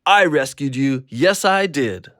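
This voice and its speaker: A man's voice: a little high, expressive, slow pace, sharp tone, no room sound, clean studio sound, heavily acted. A man playing a weary but kindly man down on his luck in an audio drama, with a folksy, colloquial manner. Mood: affectionate.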